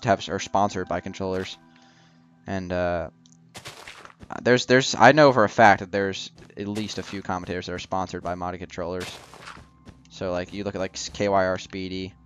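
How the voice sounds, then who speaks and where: A man's voice: low-pitched, a little hiss or hum. A young man casually commenting while building in a video game, rambling and joking with lots of fillers. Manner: rambling, jokey, many fillers. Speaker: gaming channel host.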